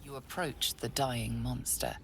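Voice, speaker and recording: Fields: gravelly slow woman narrator, ominous. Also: very deep voice, fairly even intonation, noisy background. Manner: measured, ominous, hushed. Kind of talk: second-person game narration.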